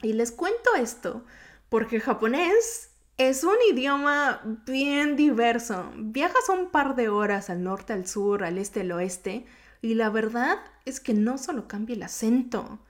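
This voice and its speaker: A woman hosts her own podcast alone, talking in a chatty, informal way with a lilting Mexico City accent. Japanese is her first language, and her voice sits a little higher than most. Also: lively intonation, a little slow. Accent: Mexico City Spanish. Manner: chatty and informal, slightly sing-song. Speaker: podcast host. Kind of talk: solo podcast monologue.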